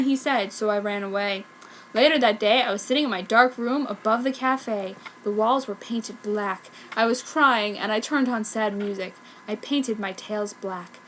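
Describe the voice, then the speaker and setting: A woman's voice: a little high, fairly bright tone, slight background noise. A woman reading fan fiction aloud as narrator, with a theatrical, mock-serious melodramatic delivery. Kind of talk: dramatic comedic story reading.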